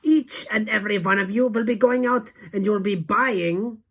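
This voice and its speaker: A woman's voice: medium pitch, normal speed, pitched above the performer's own voice, natural voice. A woman voicing a tiny, fiendish, tyrannical witch leader who screeches and barks commands in mangled grammar for a storybook. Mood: commanding. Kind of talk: dramatic audiobook character acting.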